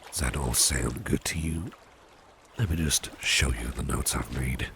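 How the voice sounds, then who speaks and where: A man voicing a suave, attentive gentleman boss in a gravelly, half-whispered ASMR roleplay, speaking in a reassuring, coaxing way. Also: extremely low pitch, measured pace, noisy background, heavily acted. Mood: courteous, coaxing.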